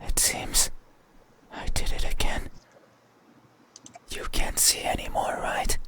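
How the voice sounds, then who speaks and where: A performer voicing an eerie, mysterious being in a horror game, menacing yet sorrowful, speaking in a breathy, halting, insistent whisper. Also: sharp tone. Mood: mournful. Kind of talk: horror visual novel voice acting.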